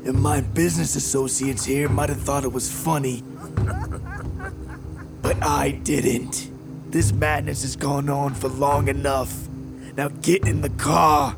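A man's voice: mid-range voice, flat delivery, unhurried, vocal fry, over music. A man voicing a fat, hairy mobster spider who speaks in cold, clipped threats. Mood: menacing. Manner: menacing, clipped.

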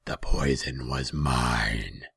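A man voicing a scornful, imperious Rakshasa demon in a fantasy story, drawing words out slowly and contemptuously. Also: extremely low pitch, gravelly, fairly bright tone, husky, no room sound, clean studio sound, full character voice. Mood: cold menace. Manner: slow, drawn-out, contemptuous.

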